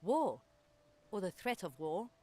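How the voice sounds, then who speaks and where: A woman's voice: medium pitch, sing-song, unhurried, a little mellow. A woman playing a blunt noblewoman envoy in a fantasy game, brusque and sardonic. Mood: brusque, irritated. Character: blunt, gruff noblewoman envoy, impatient with formalities.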